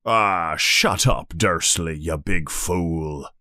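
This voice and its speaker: A man voicing a booming giant gamekeeper in a storybook, hot-tempered yet kindly, with an emphatic, exclaiming way of talking. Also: extremely slow, bright, forceful tone, no echo, studio quality, full character voice. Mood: scornful.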